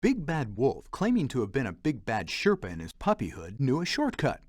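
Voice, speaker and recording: A man's voice: medium pitch, huge pitch swings, moderate pace, no echo, studio quality. A man playing a wry, theatrical storyteller narrating a fairy-tale adventure game in a dramatic, playful way.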